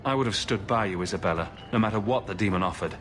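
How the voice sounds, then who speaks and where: An actor playing a steady, dry-witted male adventurer hero in a game, firm and matter-of-fact. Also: lowish voice, quick pace, soft background music, natural voice. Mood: reproachful.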